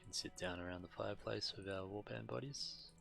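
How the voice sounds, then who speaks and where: A man with a very low, flat delivery talks through a video game for his stream. He sounds matter-of-fact and a little halting, with a crisp, forceful edge to his tone and music playing behind him. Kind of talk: casual gameplay commentary, reading on-screen game text aloud.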